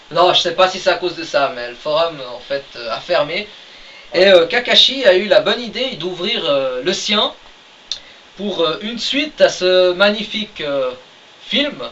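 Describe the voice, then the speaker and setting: A man's voice: high voice, a bit bright. A man co-hosting a casual podcast who talks hesitantly, with many fillers and dry jokes. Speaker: podcast co-host, writer of the story. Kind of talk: casual chat. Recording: distant mic, dull lo-fi sound, faint hiss.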